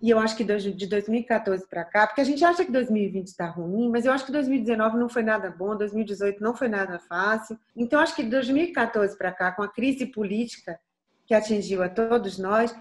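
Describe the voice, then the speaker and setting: A middle-aged Brazilian singer from Bahia with a light Bahian accent and a soft voice, speaking earnestly and reflectively in an interview, with frequent restarts. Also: female voice, mid-range voice, fast talker. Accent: Bahian Portuguese. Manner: thoughtful, earnest, frequent restarts and tag questions.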